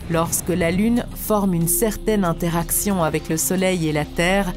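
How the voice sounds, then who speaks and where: A woman voicing a serene, earnest spiritual teacher in an animated story, instructing in a solemn, measured manner. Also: on the low side, close to an everyday voice. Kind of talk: scripted didactic cartoon dialogue.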